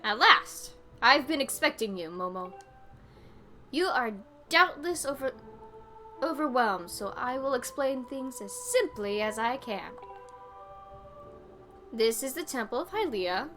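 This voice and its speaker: A woman reading game dialogue as a solemn old female sage, formal and measured. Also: on the high side, lively intonation, pushed and bright, soft background music. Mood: explanatory.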